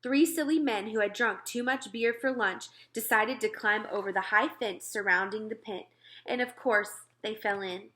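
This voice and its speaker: A woman reading a children's book aloud as scripted narration, expressive and clearly phrased. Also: mid-range voice, moderate pace. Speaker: storybook reader.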